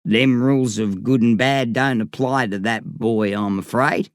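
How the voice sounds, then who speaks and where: A man voicing a wry, plain-spoken old man in an audiobook, with a slow folksy drawl. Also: mid-range voice, no room sound, studio quality, lightly acted. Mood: wry, steady. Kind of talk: audiobook character voice.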